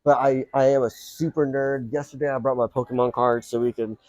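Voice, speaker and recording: A man's voice: mid-range voice, fairly even intonation, fairly quick, a little mellow, no room sound. A man born in Colombia and raised in South Florida, hosting a casual podcast chat in a small booth inside a shopping mall, talking in a rambling way with lots of fillers. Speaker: podcast host.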